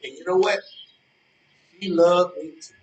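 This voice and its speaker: A man's voice: high voice, steady tone, unhurried, slight background noise. A man acting in a stage play as a smug, boastful romantic rival who taunts colloquially and repeats his phrases for emphasis. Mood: wistful, boastful. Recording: roomy, echoey, distant from microphone.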